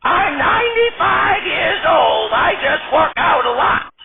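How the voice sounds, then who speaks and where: A cheeky, bossy grandmother in her nineties, squawks high and slow with a quaver. She scolds at full volume, stretching every word. The sound is thin and tinny, like over a phone. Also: sing-song, vocal fry, shouting, slight background noise, full character voice. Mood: brassy. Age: older. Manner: high quavering scolding old-lady voice, drawn out. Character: spry ninety-five-year-old grandma, cheeky and bossy.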